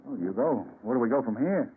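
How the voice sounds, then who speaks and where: An actor playing a musing, puzzled police commissioner in an old-time radio drama, speaking deliberately and with emphasis. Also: male voice, mid-range voice, animated, lightly acted. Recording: muffled, slightly noisy vintage radio broadcast.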